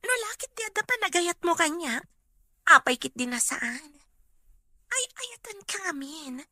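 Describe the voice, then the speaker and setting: A woman's voice: extremely high pitch, sing-song, measured pace, bright, forceful tone, no room sound, clean studio sound, exaggerated voice. A woman with an Ilocano accent playing an emotional, pleading woman in a radio drama.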